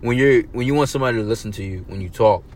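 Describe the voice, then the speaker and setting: Laid-back young man, low voice. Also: rapid-fire, slight background noise. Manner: laid-back slang, swearing, dry jokes. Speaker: podcast guest. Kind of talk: casual podcast chat.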